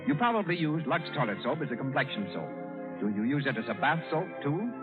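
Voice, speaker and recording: Animated, high-pitched 1930s radio announcer man. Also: a little fast, phone-quality sound, over music. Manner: formal, declamatory. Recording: band-limited old 1930s radio recording.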